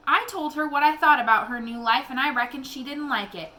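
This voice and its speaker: A motherly country woman from a storybook, as a woman reads her. She speaks frankly in a folksy way, with a wounded undertone. The voice is bright, over faint noise. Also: high-pitched, fairly quick. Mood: angry. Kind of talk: storybook read-aloud dialogue.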